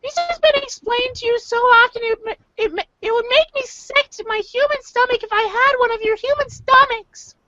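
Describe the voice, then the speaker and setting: A woman's voice: very high-pitched, normal speed, hardly any pauses, pushed and bright. A woman voicing an irate, condescending, foul-mouthed young male alien troll in an amateur reader's theater, ranting in exasperation. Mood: exasperated ranting. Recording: dull lo-fi home recording, faint noise.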